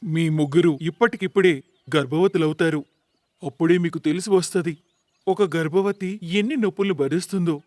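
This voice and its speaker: A man's voice: fairly high pitch, moderate pace, darkish tone, no echo, lightly acted. A man voicing a stern, wrathful sage in a moral-story cartoon, solemn and commanding. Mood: angry, cursing. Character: stern, wrathful male sage laying a curse.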